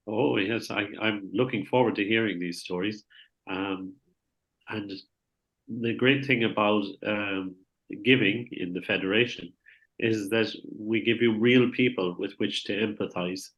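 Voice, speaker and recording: A man's voice: fairly low pitch, fairly even intonation, a little slow, a bit bright, dull audio. A man co-hosting an online event, speaking in a friendly way with stumbling restarts over a video call. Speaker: co-host. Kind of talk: casual chat on an online event.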